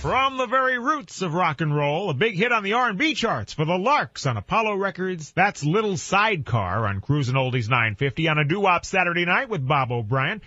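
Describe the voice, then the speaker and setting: A man's voice: high-pitched, brisk, few pauses, no room sound. An American man hosting an oldies doo-wop radio show with upbeat, punchy announcer patter between songs. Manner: animated, punchy announcer delivery. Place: dry radio studio. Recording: dull, lo-fi AM radio aircheck.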